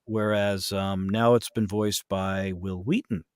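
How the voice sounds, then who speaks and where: A man in a casual podcast conversation, explaining things and giving his opinions in an animated way. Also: sits low, takes it slowly, clear, resonant tone, dry recording, clean studio sound. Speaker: podcast guest, voice actor.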